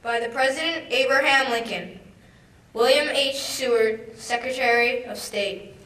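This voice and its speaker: A woman's voice: fairly high pitch, measured pace, pushed and bright. A young woman, a student, formally declaiming a document. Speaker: student reader. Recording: echoey hall, distant mic.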